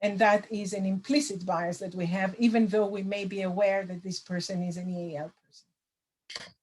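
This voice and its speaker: A middle-aged woman, a lecturer answering audience questions over a video call, thoughtful with many pauses and fillers. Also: medium pitch, steady tone, darkish tone, a little hiss or hum, rough recording. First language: Greek. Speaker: language assessment lecturer.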